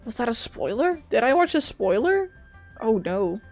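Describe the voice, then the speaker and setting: A woman with a fairly high voice gives casual playthrough commentary. Her reactions are offhand and chatty. Faint game music plays in the background. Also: very animated, moderate pace. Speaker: game streamer.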